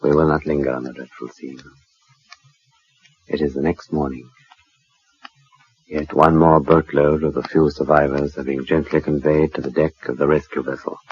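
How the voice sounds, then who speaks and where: An actor playing a grave male storyteller, narrating a 1940s radio drama in a solemn, measured, theatrical manner. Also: extremely low pitch, little pitch movement. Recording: dull, noisy 1940s radio recording.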